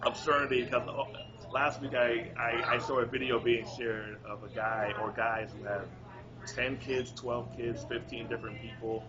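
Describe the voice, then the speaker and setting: A man's voice: medium pitch, steady tone, a little slow, dull audio, crowd noise. A man hosting a casual interview, upbeat and encouraging, often repeating himself. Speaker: interview host.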